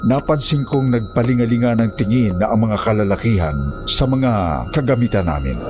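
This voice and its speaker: A man reading scripted first-person narration as the narrator of a horror story. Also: lowish voice.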